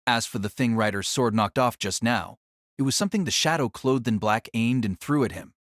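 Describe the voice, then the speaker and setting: A man's voice: medium pitch, a little fast, a bit bright, no room sound, studio quality. A man reading scripted, dramatic narration of a fantasy story as its narrator.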